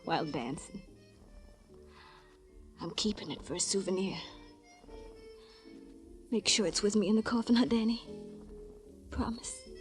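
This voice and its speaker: An actress playing a dying dance-hall girl, wistful and resigned, breathy and halting in 1950s radio noir style. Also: medium pitch, wildly swooping pitch. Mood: faint, wistful. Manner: halting, languid, weak. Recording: old radio recording, faint music.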